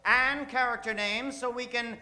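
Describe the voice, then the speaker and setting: High, bright-voiced male stage host. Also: steady tone, moderate pace. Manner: theatrical announcer delivery, drawing out names. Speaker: host of a live improvised radio comedy show. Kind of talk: stage hosting, bantering with a studio audience. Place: live on stage in a theater.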